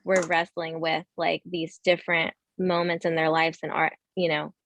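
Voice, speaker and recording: Low-voiced woman musing about characters. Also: level tone, normal speed, fairly bright tone. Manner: thoughtful, many fillers. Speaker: book club member. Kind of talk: casual book discussion.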